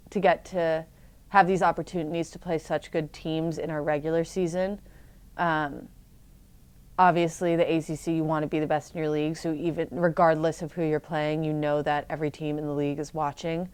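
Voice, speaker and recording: A woman college lacrosse player speaking in a thoughtful, even way. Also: sits low, moderate pace, clean tone.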